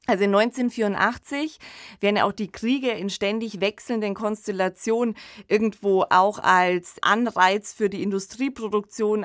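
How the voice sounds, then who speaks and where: A woman's voice: mid-range voice, no room sound, studio quality. A woman co-hosting a discussion podcast, explaining things in an articulate, conversational way. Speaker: podcast co-host. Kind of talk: conversational radio discussion of science fiction.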